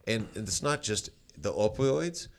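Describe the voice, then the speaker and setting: A man in casual conversation, telling stories in a loud voice, rambling with many restarts and fillers. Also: lowish voice, big pitch swings, a little slow, vocal fry, a little hiss or hum.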